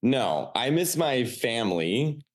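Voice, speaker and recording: A man's voice: medium pitch, big pitch swings, takes it slowly. A man in his late thirties from the Chicago area with a Chicago accent, a stand-up comedian chatting loosely on a podcast, full of "like" fillers. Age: 30s. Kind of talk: casual podcast chat.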